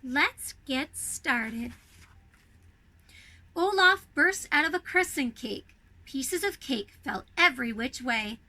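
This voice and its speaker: A woman reading a children's storybook aloud in a playful, expressive way, speaking to young listeners. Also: sits high, slow pace.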